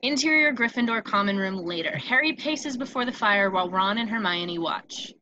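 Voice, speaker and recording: A woman's voice: mid-range voice, normal speed, muffled recording. A woman reading scripted stage directions as narrator of a table read. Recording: clear steady background noise.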